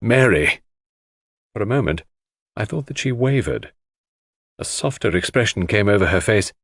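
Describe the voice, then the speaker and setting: A man's voice: lowish voice, lively intonation, normal speed, husky, no room sound, clean studio sound. A man reading a first-person story as an audiobook narrator, in a scripted literary style.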